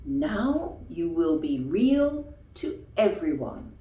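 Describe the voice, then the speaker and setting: A woman voicing a kind, gentle magical fairy in a children's storybook. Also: fairly low pitch, big pitch swings, slow, roomy sound, far from the mic. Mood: gentle, reassuring.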